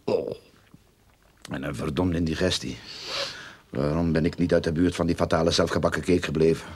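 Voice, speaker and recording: An actor playing a scheming, self-important private detective in a radio drama, with a pompous, theatrical manner. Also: male voice, rumbling low, steady tone, normal speed, slightly rough. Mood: smug, conspiratorial.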